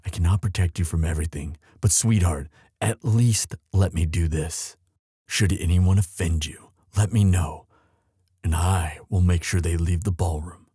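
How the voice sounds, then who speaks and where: A tender young crown prince, acted by a man for a romantic audio drama, speaks in a low, dark voice with a formal, courtly manner. He is earnest and devoted, halting as feeling takes over, and the sound is close and very dry. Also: breathy edge, no room sound, exaggerated voice. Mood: tender, protective.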